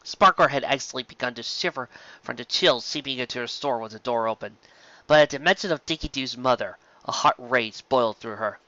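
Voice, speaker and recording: A narrator reading a scripted story aloud in an expressive, dramatic way. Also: mid-range voice, fairly quick, no room sound, lo-fi sound. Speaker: fan fiction narrator. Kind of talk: scripted story narration with acted character voices.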